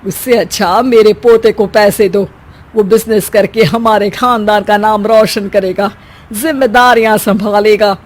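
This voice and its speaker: A performer voicing a spiteful old grandmother in a melodramatic family story, scolding and taunting in a drawn-out way. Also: medium pitch, fairly even intonation, natural voice. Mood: scornful.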